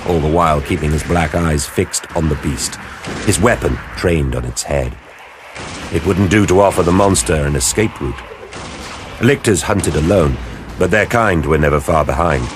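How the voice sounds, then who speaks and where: A man performing dramatic scripted narration of a science fiction war story, grave, theatrical and deliberate in his delivery. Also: very deep voice. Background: dramatic music with battle sound effects.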